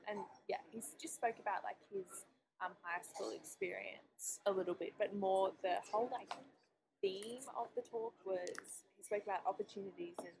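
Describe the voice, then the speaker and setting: A woman's voice: medium pitch, steady tone, moderate pace, gravelly, noisy background. A young Australian woman from Adelaide co-hosting a podcast, chatting casually with many "like" fillers in a busy cafe. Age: young adult. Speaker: podcast co-host. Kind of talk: casual chat.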